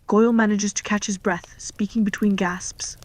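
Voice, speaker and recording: Female story reader, mid pitch, very even intonation, scripted dialogue and narration. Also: normal speed.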